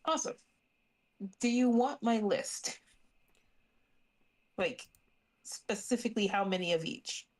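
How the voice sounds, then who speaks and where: A woman's voice: mid-range voice, lively intonation, takes it slowly, long pauses, darkish tone. Casual woman player in a tabletop game.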